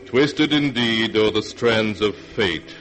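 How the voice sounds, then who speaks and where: A man hosting a 1940s radio horror series, narrating in a portentous, theatrical, measured style. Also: fairly low pitch, fairly bright tone, poor audio. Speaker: radio announcer and host. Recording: vintage 1940s radio recording. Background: music.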